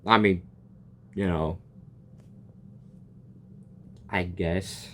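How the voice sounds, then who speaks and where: Young guy doing a game reaction, slow, low-ish voice, rambly and jokey, stumbles a lot. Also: steady tone, slight background noise. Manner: rambling, joking, trips over words. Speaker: gaming reaction youtuber. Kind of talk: casual reaction commentary.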